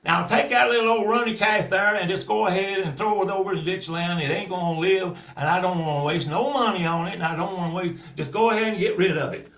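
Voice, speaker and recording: A man's voice: very high voice, huge pitch swings, slow pace, far from the mic, pitched above the performer's own voice, full character voice. A man voicing a rich, stingy, hard-hearted uncle who barks orders. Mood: gruff. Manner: gruff, loud. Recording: roomy echo.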